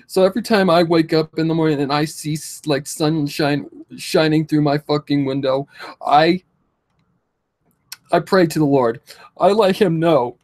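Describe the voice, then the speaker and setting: A man of twenty-two speaking emotionally to his followers in a halting, repetitive, pleading way, distressed and close to tears, with slightly affected speech. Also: a little high, darkish tone. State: distressed, tearful. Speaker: vlogger. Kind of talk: emotional confessional talk to followers.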